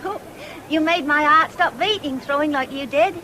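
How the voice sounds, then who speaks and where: A woman's voice: high-pitched, normal speed, sharp tone. An actress playing a thrifty, forthright young country woman with a rural English accent in a 1970s radio drama, coy and scolding. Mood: gently scolding. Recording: noisy old radio broadcast, fairground din.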